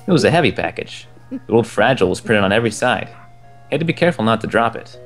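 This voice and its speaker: A young man reading a children's book aloud in a relaxed way, chuckling now and then at the text. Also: fairly low pitch, quick pace. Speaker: storyteller. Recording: soft game music behind the voice.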